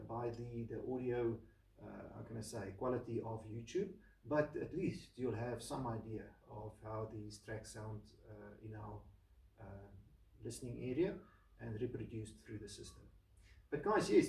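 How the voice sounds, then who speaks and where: A man hosting a casual audio equipment video, speaking to viewers in a halting, deliberate and measured way at home. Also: medium pitch, creaky, warm tone. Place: room at home. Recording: roomy echo, distant microphone.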